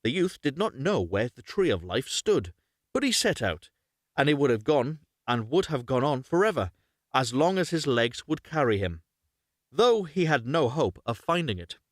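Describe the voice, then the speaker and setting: A man's voice: medium pitch, sing-song, moderate pace, dry recording, studio quality. A man hosting a live, unrehearsed story reading, narrating expressively, correcting himself and adding chatty asides. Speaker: host reading stories aloud on a livestream.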